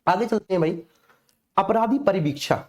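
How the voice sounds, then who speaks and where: A man's voice: high-pitched, normal speed, darkish tone. A man with a North Indian accent teaching a live online exam class in a colloquial, didactic way, often checking in with short tag phrases. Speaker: coaching teacher. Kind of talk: live online exam lecture with personal anecdotes.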